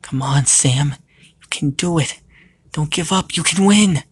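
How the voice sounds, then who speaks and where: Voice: medium pitch, unhurried, husky, pitched above the performer's own voice. A storyteller voicing a devoted, brave young heroine who speaks urgently and earnestly. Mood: encouraging.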